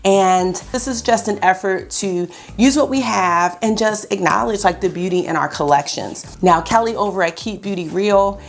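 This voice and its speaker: A woman's voice: mid-range voice, normal speed, vocal fry, few pauses. A woman in her thirties chatting casually to camera as a beauty vlogger from her bedroom, upbeat and talkative, her voice a little scratchy this early in the morning. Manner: chatty, upbeat, addresses viewers as y'all. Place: bedroom at home, indoors.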